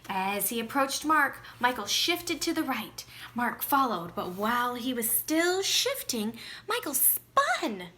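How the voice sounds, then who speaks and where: A woman teacher reading a storybook aloud expressively, with deliberate emphasis and asides to children. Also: a little high, big pitch swings, sharp tone, a little hiss or hum.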